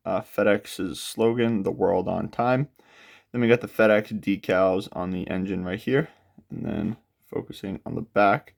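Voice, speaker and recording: A young man hosting a casual unboxing of model aircraft, sounding a bit nasal from a cold and talking in a relaxed way with frequent filler pauses. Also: low voice, gravelly. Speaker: aviation model channel host. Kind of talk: casual product unboxing walkthrough.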